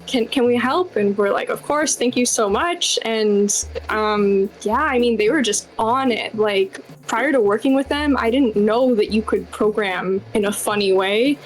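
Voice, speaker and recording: A woman's voice: on the high side, measured pace, hardly any pauses, poor audio. A young woman chatting casually as an interview guest, with a bubbly, enthusiastic manner. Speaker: game developer guest.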